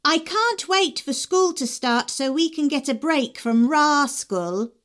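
A woman's voice: high voice, expressive, takes it slowly, noisy background, pitched above the performer's own voice. A woman voicing a bossy young girl who teases her younger sibling in a sing-song, taunting way. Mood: teasing.

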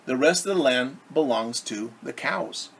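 A man's voice: medium pitch, expressive, measured pace, a bit bright, slightly rough, full character voice. A man voicing a practical, dismissive young farmer husband from a storybook in an emphatic, matter-of-fact way. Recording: faint background room noise.

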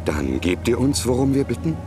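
Earnest fantasy game monk male actor, mid-pitched, polite and direct, with music underneath. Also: sing-song, moderate pace, lightly acted. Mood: earnest, courteous. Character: earnest, courteous warrior monk on a quest. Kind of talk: video game cutscene acting.

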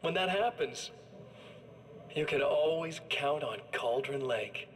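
An actor playing a man's smug, menacing evil double in a video game, with a taunting, theatrical, drawn-out delivery. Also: a little high, fairly bright tone, recorded from a distance, slight background noise. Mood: gloating. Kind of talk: video game villain monologue.

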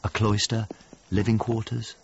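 A low-voiced, earnest painter in a radio play, searching for a missing friend. He speaks in short, terse phrases. The sound is slightly dull, with clear background noise. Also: level tone, a little slow. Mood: reflective. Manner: terse, clipped narrative phrases. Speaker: narrator and lead actor. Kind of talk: radio drama acting and first-person narration.

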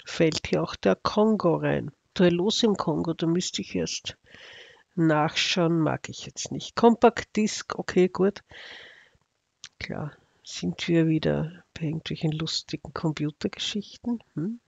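High-pitched Austrian man, dry and sardonic. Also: a little slow, vocal fry, darkish tone, no room sound, dull audio. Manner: sardonic, ironic. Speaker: astrology video narrator. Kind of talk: casual unscripted commentary over slides.

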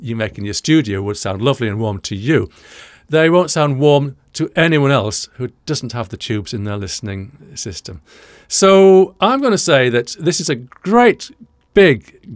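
A man's voice: mid-range voice, animated, a little slow, hardly any pauses, no room sound. Dry-sounding older British man explaining audio. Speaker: audio engineering presenter. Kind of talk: explanatory reaction commentary to camera. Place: small indoor studio room, dry.

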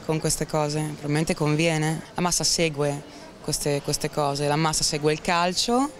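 A woman's voice: low voice, unhurried. A woman in her thirties giving sarcastic, outspoken opinions to a street interviewer, outdoors into a handheld mic. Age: 30s. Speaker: passerby interviewed. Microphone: handheld microphone.

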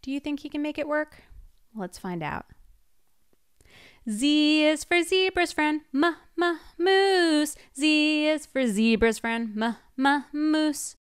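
A young woman librarian reading a picture book aloud to children, sounding out letters playfully in a slow, animated storytime style. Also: sits high. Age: young adult. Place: small home room, indoors. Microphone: large studio mic on arm in front of her.

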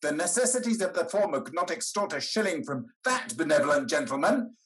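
A man's voice: fairly high pitch, big pitch swings, a little fast, fairly bright tone. An older man playing a shrewd, blunt, wealthy old uncle in a period stage comedy, declaiming emphatically. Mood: indignant. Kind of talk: theatrical period comedy acting over video call. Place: indoor room at home. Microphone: computer mic on video call.

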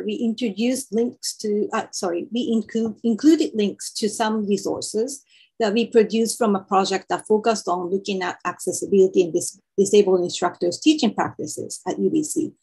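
A woman's voice: mid-range voice, creaky, a little mellow, husky, poor audio. A Japanese woman who speaks English as a second language, carefully explaining the setup of an online workshop she facilitates over a video call.

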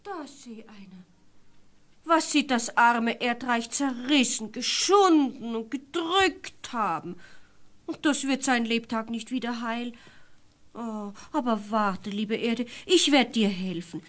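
An actress playing a naive, chatty, simple-minded peasant wife in a fairy-tale audio play, artless and full of exclamations. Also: female voice, on the high side, slow, a bit bright. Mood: pitying. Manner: artless, exclamatory, self-justifying.

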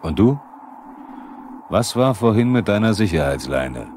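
An actor playing a sober, probing narrator who addresses the characters in a 1970s radio drama. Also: male voice, on the low side, big pitch swings, measured pace, darkish tone. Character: probing male narrator, the characters' inner questioner. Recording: noisy 1970s vinyl radio play.

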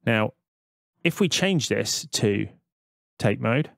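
A man's voice: mid-range voice, expressive, slow, creaky, no echo. Man narrating a tutorial, dry close sound. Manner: conversational, explanatory, step-by-step. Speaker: music software tutor. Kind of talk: casual screen-recorded tutorial narration.

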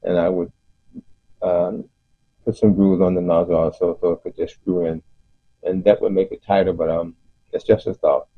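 A man giving an unscripted explanation as host of a DIY video, speaking haltingly with frequent pauses. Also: low voice, little pitch movement, husky. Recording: muffled, roomy voice-over recording.

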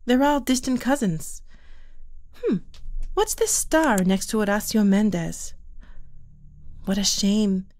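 A woman's voice: medium pitch, animated, slow, long pauses, a little mellow. A woman playing a caring, worried Puerto Rican mother in an audio drama. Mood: warm, matter-of-fact.

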